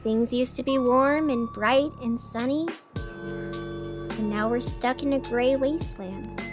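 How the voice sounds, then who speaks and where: A woman's voice: a little high, slow. A woman playing a weary, lonely radio host, musing wistfully to herself in a scripted radio fiction monologue. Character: weary, lonely, melancholy female radio host in a gray city. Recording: music playing under the voice.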